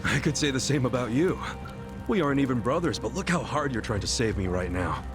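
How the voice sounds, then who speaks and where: An actor playing a big-hearted, stubbornly optimistic ex-convict hero in a video game, with brash, slangy, earnest delivery. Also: male voice, mid-range voice, expressive, a little fast, music in the background, lightly acted. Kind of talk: dramatic video game voice acting.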